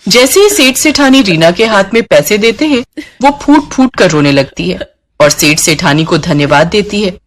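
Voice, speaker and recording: A woman's voice: medium pitch, expressive, normal speed. A woman narrating a scripted bedtime cartoon story.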